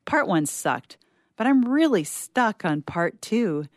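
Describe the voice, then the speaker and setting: A woman's voice: medium pitch, big pitch swings, slow, no room sound, studio quality. A woman playing a stressed, sardonic young university student in a rambling, slangy, self-mocking story monologue. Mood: frustrated, weary. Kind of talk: dramatic monologue reading.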